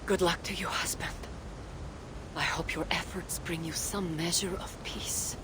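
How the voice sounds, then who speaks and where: An actress playing a devoted, anxious goddess and wife in a video game cutscene, speaking gravely and earnestly, with pleading concern. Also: female voice, steady tone, measured pace, lightly acted. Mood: resigned, tender. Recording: loud rumbling game background noise.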